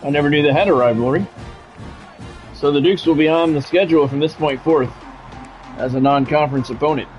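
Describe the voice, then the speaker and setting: An American man doing live play-by-play of a video game football match in a measured announcer style, mixed with casual chat to stream viewers. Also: a little high, a little mellow. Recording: dull lo-fi sound with music behind.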